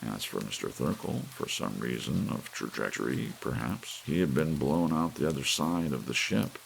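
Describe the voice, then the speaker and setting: A man with a gravelly voice reading an audiobook story in a soft ASMR narration style. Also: very deep voice, expressive, normal speed. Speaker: audiobook reader. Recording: faint hiss under the voice. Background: soft rain.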